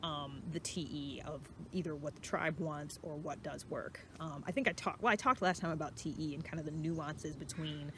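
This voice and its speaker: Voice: mid-range voice, moderate pace, hardly any pauses, a bit bright, slight background noise. A host talking casually and unscripted, explaining things in a rambling way that circles back and qualifies points. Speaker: host of a personality typology series.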